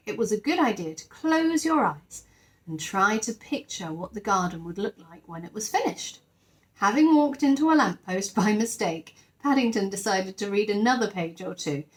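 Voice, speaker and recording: A woman narrating a children's story expressively, like a teacher reading aloud to her class. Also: medium pitch.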